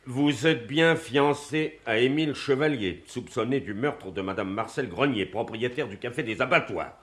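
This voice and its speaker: An actor as a stern, brusque police commissioner. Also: male voice, medium pitch, moderate pace, a bit bright, close to an everyday voice. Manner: brusque, authoritative. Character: stern, sceptical, unbending male police commissioner. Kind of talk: French radio mystery play acting.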